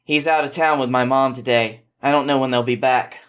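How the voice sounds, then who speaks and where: A man's voice: mid-range voice, fairly even intonation, fairly quick, fairly bright tone, close to an everyday voice. Talkative, helpful young woman played by a male reader, casual storybook dialogue. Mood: teasing. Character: chatty, helpful young woman, shopkeeper's daughter.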